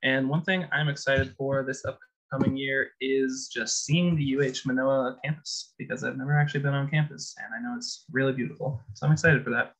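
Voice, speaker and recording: A man's voice: mid-range voice, fairly even intonation, brisk, fairly bright tone, low-quality recording. A young man from Colorado, an orientation leader, giving casual advice on a panel with an easygoing manner. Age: young adult.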